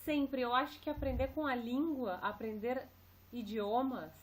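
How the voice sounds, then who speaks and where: Woman co-host cheering viewers on, kinda high voice, casual chat. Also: unhurried. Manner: encouraging, addresses viewers directly.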